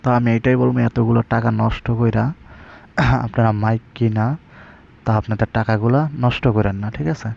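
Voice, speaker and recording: A man's voice: mid-range voice, level tone, a little fast, gravelly. A man with a Bangladeshi accent giving a casual, colloquial tutorial and sales talk, often checking in with his listeners. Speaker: online seller and video presenter. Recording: slightly dull, lo-fi audio.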